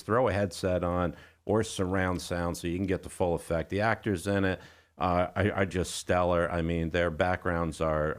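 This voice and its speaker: A man hosting a radio show from a studio, chatting with enthusiasm and addressing listeners in an emphatic way. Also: low-pitched. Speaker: radio host. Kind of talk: enthusiastic interview chat.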